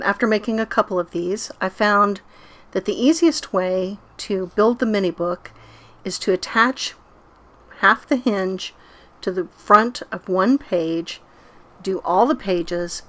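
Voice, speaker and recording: A middle-aged woman narrating a casual craft tutorial as its host. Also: mid-range voice.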